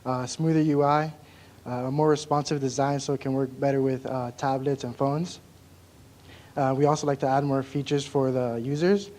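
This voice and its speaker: A man's voice: medium pitch, steady tone, moderate pace, darkish tone. A young man from the United States presenting a class project, casual and candid with some restarts. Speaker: student presenter.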